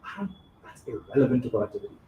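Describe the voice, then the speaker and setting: A man's voice: medium pitch, very animated, racing, dull audio, slight background noise. Direct, punchy man in an echoey café. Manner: direct, punchy rhetorical questions. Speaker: audience member. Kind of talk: live panel discussion. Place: café with live audience.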